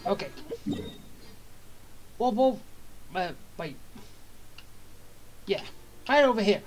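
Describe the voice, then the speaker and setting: A woman's voice: medium pitch, animated, slow pace, darkish tone, a little hiss or hum, poor audio. A woman game streamer giving casual, playful commentary and reacting aloud as she plays. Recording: game music underneath.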